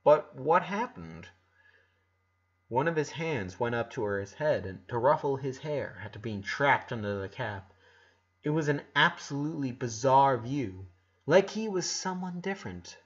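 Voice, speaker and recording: Husky-voiced man reading a story aloud. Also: mid-range voice, expressive, moderate pace, lo-fi sound. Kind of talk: amateur audiobook narration of fan fiction.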